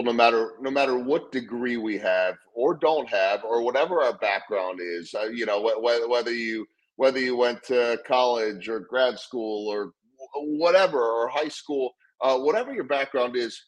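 Husky-voiced man telling stories. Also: mid-range voice, expressive, normal speed, low-quality recording. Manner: earnest, repeats words when restarting. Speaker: guest speaker on leadership. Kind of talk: conversational interview storytelling.

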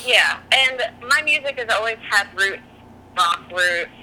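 A chatty woman speaking as a podcast guest, her voice very bright and forward. She talks openly and laughs easily. Faint noise hums behind her. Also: fairly high pitch, animated, measured pace, rough recording. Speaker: guest, author and singer. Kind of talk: podcast interview conversation.

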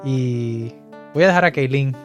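Male host, casual and halting, faint guitar under the voice. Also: mid-range voice. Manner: hesitant, many fillers. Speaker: podcast host.

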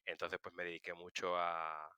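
A man in his thirties from Seville with a very bright, forceful voice, clean and crisp in a dry sound. He talks casually as an interview guest, telling stories and explaining things. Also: sits low, monotone, moderate pace, no room sound. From Seville, Spain. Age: 30s. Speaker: interview guest, political science communicator. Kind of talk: casual conversational storytelling.

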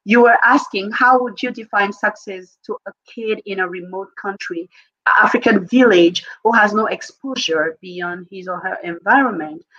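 A middle-aged woman hosts in an encouraging way, often murmuring in agreement. Her voice sits at a middle pitch. The recording sounds dull and lo-fi. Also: normal speed, fairly bright tone. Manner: encouraging, frequent affirming murmurs. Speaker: podcast host. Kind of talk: casual conversation.

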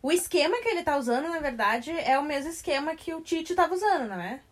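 A young woman hosts a sports podcast. Her voice sits on the high side as she leads the introductions and chat. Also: animated, fast talker. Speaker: podcast host.

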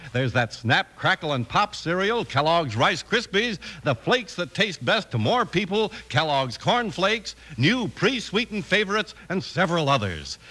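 A man's voice: fairly high pitch, pushed and bright. A man with an American accent doing scripted announcing and ads in 1950s radio style. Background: music.

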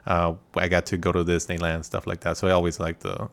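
A man in his thirties with a very deep, low voice co-hosts a casual podcast. He speaks in a measured, hesitant way, with plenty of pauses and fillers. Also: flat delivery. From Mexico. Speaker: podcast co-host. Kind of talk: casual chat.

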